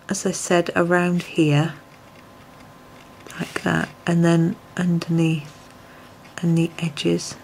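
A woman, a little croaky, gently talking through a colouring tutorial at her desk, often dropping to a near whisper. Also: fairly low pitch, slight background noise, rough recording. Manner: soft-spoken, often drops to a near whisper. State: slightly croaky voice. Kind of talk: relaxed step-by-step tutorial. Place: home desk, filmed from above.

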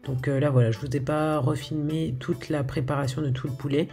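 A woman's voice: low voice, fairly even intonation, brisk, dark tone, faint music behind. A woman talking casually over a video of her home cooking, hesitant, with lots of filler sounds and restarts. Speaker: home cook showing her recipes.

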